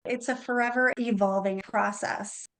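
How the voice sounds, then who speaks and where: A woman's voice: a little high, steady tone, moderate pace, a bit bright. A woman speaking as a guest in a conversational radio interview, earnest and enthusiastic about her work. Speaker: interview guest, opera librettist and singer.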